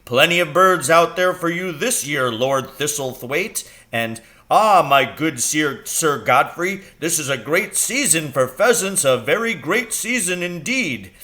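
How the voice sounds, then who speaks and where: A man plays a pompous, self-important rich gentleman in a children's story. The voice rises and booms in slow, grand phrases. He sounds bright and fawning. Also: expressive. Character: pompous, strutting rich host greeting aristocrats. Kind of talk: children's audiobook character reading.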